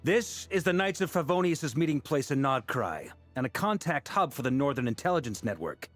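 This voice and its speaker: An actor playing a seasoned, easygoing knight commander in a video game, self-assured and casual with a wry streak. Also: male voice, medium pitch, natural voice. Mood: confident, welcoming.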